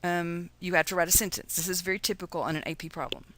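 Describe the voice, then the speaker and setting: A woman's voice: fairly low pitch, fairly even intonation, fast, fairly bright tone, a little hiss or hum. A woman with a Texan accent giving an informal recorded lesson as a teacher, chatty and folksy as she explains. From Texas, USA. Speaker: chemistry teacher.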